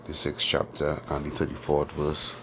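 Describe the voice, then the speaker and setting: A man teaching an informal scripture lesson, hoarse from a sore throat, talking in a loose, conversational way with many fillers and tag questions. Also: extremely low pitch, completely flat delivery, normal speed, background noise. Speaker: teacher of a religious group.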